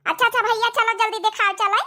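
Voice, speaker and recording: Squeaky, bright, girlish Awadhi village sister. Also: steady tone, fast talker. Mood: excited. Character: excitable young village sister, girlish and eager. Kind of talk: cartoon comedy dialogue.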